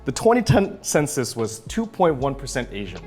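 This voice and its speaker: A man's voice: medium pitch, very animated, normal speed, darkish tone, faint music behind. A man hosting a casual interview show, talking with an upbeat, enthusiastic manner in a cafe after hours. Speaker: host.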